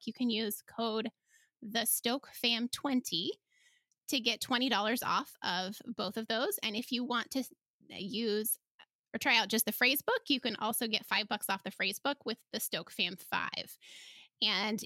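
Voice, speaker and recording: A woman's voice: on the high side, moderate pace, sharp tone, no echo. A woman hosting a casual podcast interview, chatty and enthusiastic in her way of talking. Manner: chatty, enthusiastic, laughs often. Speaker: podcast host.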